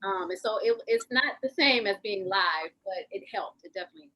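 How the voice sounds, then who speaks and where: Mid-pitched woman reflecting informally. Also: lively intonation, a little fast, bright, forceful tone, poor audio. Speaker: actor in an acting class. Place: online video call.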